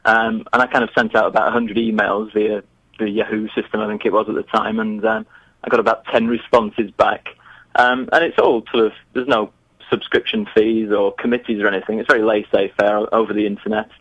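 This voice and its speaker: Low-voiced man, hesitant. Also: level tone, a little fast, fairly bright tone, no room sound, highs cut off. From northern England. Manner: chatty, hesitant, many restarts. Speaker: guest, geography teacher. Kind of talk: remote interview.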